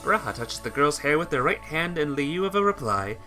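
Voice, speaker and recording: A man's voice: fairly high pitch, very animated, a little fast, sharp tone, soft background music. A man reading a visual novel aloud as amateur narration, sometimes stumbling and rereading phrases.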